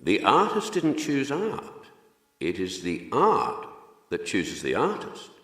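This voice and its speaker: A man acting in an audio drama as a gentle, melancholic painter, speaking in a hesitant, solemn and philosophical way. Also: mid-range voice, huge pitch swings, slow, heavily acted. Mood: reflective. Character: gentle melancholic male painter guarding forbidden art. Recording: echoey hall with long reverb.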